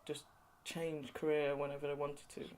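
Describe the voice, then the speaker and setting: A woman's voice: deep voice, little pitch movement, normal speed, darkish tone, a little hiss or hum. A woman from England being interviewed, talking in a chatty way with lots of "you know" and light laughs. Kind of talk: oral history interview.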